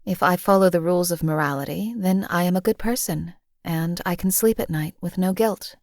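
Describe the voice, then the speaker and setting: A woman voicing a numb, detached female hired killer in a game, who talks in a measured, dry way. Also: on the low side, a little mellow, dry recording, natural voice. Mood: cold, deadpan. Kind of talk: video game companion voice lines.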